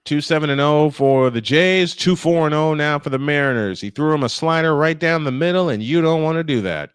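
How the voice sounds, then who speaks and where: A man's voice: a little high, a little fast, clean tone, no room sound, clean studio sound. An American man doing live baseball play-by-play mixed with casual banter. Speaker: play-by-play commentator.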